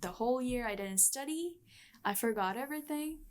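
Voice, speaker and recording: A woman's voice: sits high, animated, brisk, crowd noise. A woman of twenty-one, a university student answering interview questions in a casual, hesitant way, with many ums and restarts. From Bangladesh.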